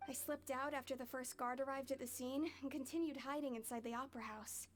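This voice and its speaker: An actress voices a young woman thief in an anime game. Her voice is high, and she explains herself in a nervous, evasive way. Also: fairly even intonation, brisk, soft background music, lightly acted. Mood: sheepish explaining. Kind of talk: anime video game acting.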